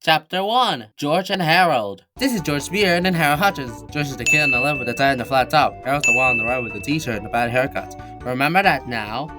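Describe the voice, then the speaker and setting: A woman's voice: rumbling low, fairly quick. A woman reading scripted storybook narration as the narrator. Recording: music behind the voice.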